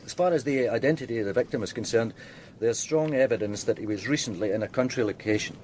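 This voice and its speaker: An actor playing a dutiful police detective in a radio drama, giving an orderly, businesslike report. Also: male voice, medium pitch, quick pace, darkish tone, a little hiss or hum, close to an everyday voice. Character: dutiful male police detective briefing his superior.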